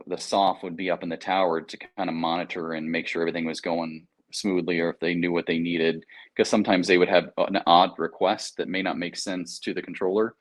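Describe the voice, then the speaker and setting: An American man talking casually in an interview, explaining things in an informal, anecdotal, slangy way. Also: low voice. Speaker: air traffic controller, interview guest.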